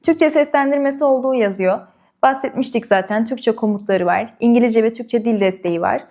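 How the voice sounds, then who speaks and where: A woman's voice: on the high side, a little fast, dark tone. A woman presenting a product review and unboxing, explaining step by step and addressing viewers directly.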